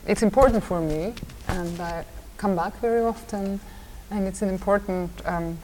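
A woman's voice: mid-range voice, normal speed, a little mellow. Austrian-accented woman with stop-start answers. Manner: hesitant, with frequent repeated words and restarts. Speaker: designer and design teacher. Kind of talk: conversational interview answers. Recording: reverberant room with faint ambient noise.

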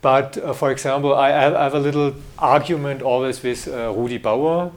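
German guy, thick accent, lots of uhs, restarts, thinking out loud in an interview. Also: mid-range voice, steady tone, normal speed. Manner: hesitant, searching for English words, many fillers and restarts. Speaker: graphic designer interviewee. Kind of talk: interview answers.

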